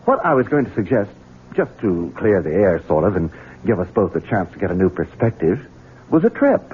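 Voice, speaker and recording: A resentful husband with a devious streak. His lowish voice leaps around, turning falsely sweet. The 1970s radio drama sounds muffled and thin. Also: big pitch swings, a little fast, husky, full character voice. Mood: smoothly devious. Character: resentful, scheming Southern husband and coldly devious son. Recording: muffled, narrow-band old radio recording.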